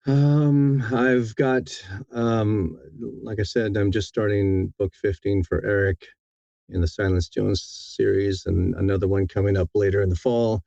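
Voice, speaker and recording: A man's voice: on the low side, fairly even intonation, measured pace, creaky, warm tone, no room sound, muffled recording. A man talking casually as an interviewed audiobook narrator, rambling and correcting himself with enthusiasm.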